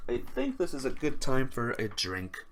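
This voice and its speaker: Very low-voiced woman narrating a game. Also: huge pitch swings, normal speed, heavy background noise. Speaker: visual novel player and reader. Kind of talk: reading game narration aloud.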